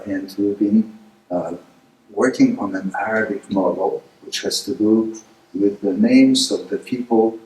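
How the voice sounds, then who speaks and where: A middle-aged man whose first language is Polish, giving a lecture presentation, hesitant with many filler pauses but rising with emphasis on key points. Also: low-pitched, a little mellow. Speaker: guest speaker. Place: lecture room. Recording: echoey hall, distant mic, faint noise.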